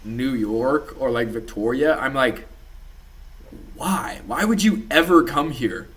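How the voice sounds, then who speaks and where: A man's voice: medium pitch, lively intonation, slow pace, a bit bright. A young man hosting a podcast, chatting casually and rambling with lots of swearing and laughter. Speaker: podcast host. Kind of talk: casual profane reaction chat.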